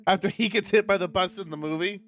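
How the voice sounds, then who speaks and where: A man livestreaming and reading a visual novel aloud, sweary and animated, with exasperated asides and guitar music behind him. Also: high-pitched, fast. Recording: loud music under the voice. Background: plucked guitar game music.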